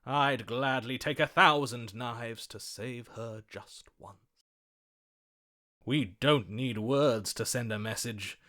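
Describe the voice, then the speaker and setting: An actor playing a lovestruck, gallant romantic hero in a video game, speaking in a florid, swooning, melodramatic way. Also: male voice, mid-range voice, slow, sharp tone. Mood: tender, adoring. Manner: florid, swooning, dramatic delivery. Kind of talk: theatrical video game voice acting.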